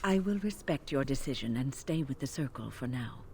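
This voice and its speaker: An actress playing a calm, kindly older female healer mage with an earnest, even manner. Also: very deep voice, normal speed.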